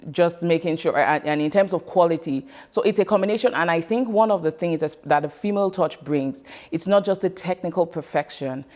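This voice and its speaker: A woman's voice: on the low side, fairly quick, hardly any pauses, darkish tone. A woman who runs a photography business, answering interview questions and stopping to search for words.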